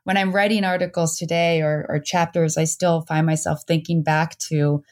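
A woman's voice: low-pitched, level tone, normal speed. A woman in her forties being interviewed as an author and professor, answering remotely from home with many restarts and fillers. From Netherlands and New Jersey. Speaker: author and anthropology professor, guest.

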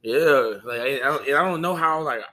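A man's voice: mid-range voice, brisk, pushed and bright. A young man from New Orleans with a light New Orleans Creole accent, talking casually as a podcast guest in loose, rambling sentences full of restarts and fillers. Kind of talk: casual conversation.

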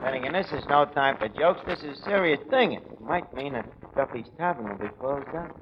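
An actor as a streetwise, high-pitched New York tavern manager. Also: male voice, expressive, a little fast, lo-fi sound, close to an everyday voice. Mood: worried. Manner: streetwise, ungrammatical, mangles big words. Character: male tavern manager, streetwise, garrulous, mangles big words. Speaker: comic lead of a radio comedy. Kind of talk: 1940s radio comedy acting. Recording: noisy old 1940s radio recording.

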